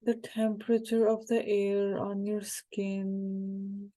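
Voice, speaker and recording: A woman guiding a hypnosis meditation in a calm, unhurried, soothing way, with short phrases and pauses. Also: mid-range voice. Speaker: hypnosis guide.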